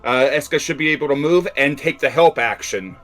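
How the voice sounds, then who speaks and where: A man playing a tabletop game, describing his character's moves in a halting, deliberate way. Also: a little high, animated, fairly bright tone. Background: music.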